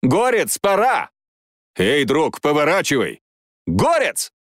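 A man's voice: on the high side, huge pitch swings, takes it slowly, no echo, clean studio sound, voice pushed up, exaggerated voice. An urgent young wingman in a fantasy audiobook, voiced by a man. He calls out to his commander in a raised, swooping voice, frightened and pressing. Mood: afraid. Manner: urgent shouting.

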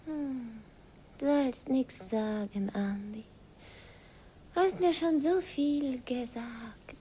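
A woman's voice: on the high side, very slow, breathy edge, heavily acted. A dreamy, whispering young village girl. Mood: tender. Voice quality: soft, hushed and tender. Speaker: actress in a radio play. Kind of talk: 1950s German radio drama acting. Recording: faint hiss of an old 1951 radio recording.

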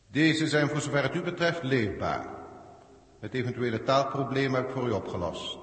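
An actor playing a haughty alien galactic proctor in a 1960s radio drama, formal, pedantic and condescending, with a Netherlandic Dutch accent. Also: male voice, medium pitch, close to an everyday voice. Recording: echoey hall reverb, 1960s radio recording.

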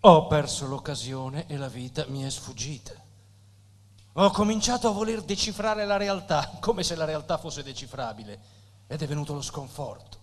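An actor playing a tormented, nihilistic poet who confesses with solemn emphasis and dramatic pauses in a theatrical style. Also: male voice, a little high. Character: tormented, nihilistic male poet Alvaro de Campos, passionately confessing. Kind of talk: theatrical stage acting. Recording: reverberant hall with faint hum.

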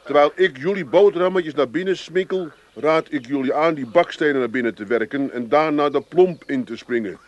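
A man's voice: on the high side, fairly quick, few pauses, dull audio, natural voice. An actor with an Amsterdam accent playing a harried, practical man who fusses and repeats his words in a comedy radio play. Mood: exasperated.

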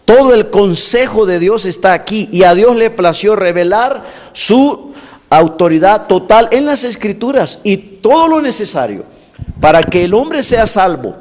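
A man delivers a preaching-style lecture in a slightly high voice. He speaks deliberately, stacking long lists of points with emphasis. His voice rings out with a long reverb, as if filling a big hall. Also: big pitch swings, a little mellow. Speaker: teacher of evangelism theology.

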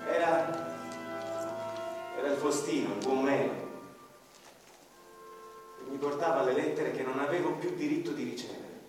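A man giving slow, reflective first-person narration in a radio drama, sometimes dropping to a hush, with a violin playing behind him. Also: medium pitch. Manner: slow, reflective, hushed at times. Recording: distant, echoey hall with long reverb. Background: violin music.

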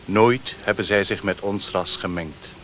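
An actor playing a formal, haughty Chinese dignitary in a radio play, with a declamatory manner. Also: male voice, deep voice, unhurried, a bit bright. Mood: formal, probing. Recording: noisy old radio play recording.

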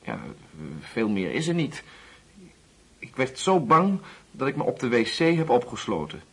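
A man's voice: mid-range voice, very animated, a little slow, fairly bright tone, slightly rough, full character voice. An actor playing a nervous, apologetic young man who brings bad news in a Dutch radio play, hesitant and earnest. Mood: anxious, shaken.

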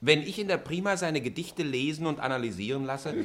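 An actor playing a reflective, wistful middle-aged schoolteacher in a German radio play, articulate and musing. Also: male voice, fairly high pitch, lively intonation, fairly quick, a crowd in the background, lightly acted.

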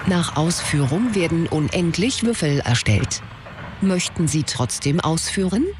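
A man's voice: fairly high pitch, lively intonation, a little slow, music in the background. A man voicing an impersonal computer system, formulaic and emotionless, in a radio drama. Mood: emotionless, formal. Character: impersonal computer system voice giving status messages.

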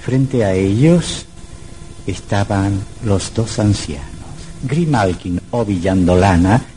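An actor playing a grave storyteller, narrating a horror radio drama in a solemn, measured way. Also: male voice, on the low side, expressive, mellow, a little hiss or hum. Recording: old radio recording with music bed. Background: music.

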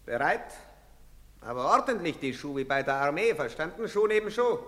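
An actor playing a brusque soldier who barks orders at townsfolk in a radio play. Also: male voice, on the high side, big pitch swings, moderate pace, a bit bright. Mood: commanding. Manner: barking, commanding. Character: brusque young male soldier barking orders. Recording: long reverb.

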